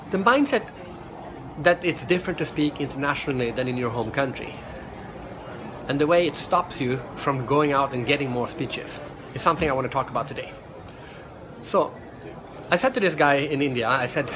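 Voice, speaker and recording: A middle-aged man from Sweden speaks in English to camera in a vlog, with energy, often restarting his sentences. His voice sits a little high for a man. A clear layer of background noise runs under the recording. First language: Swedish. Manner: energetic, restarts and repeats words. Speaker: professional speaker. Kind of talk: casual vlog monologue.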